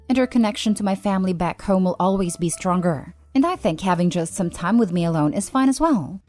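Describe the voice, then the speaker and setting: A woman narrator reading online posts and comments aloud in a steady, even delivery. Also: medium pitch. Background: faint background music.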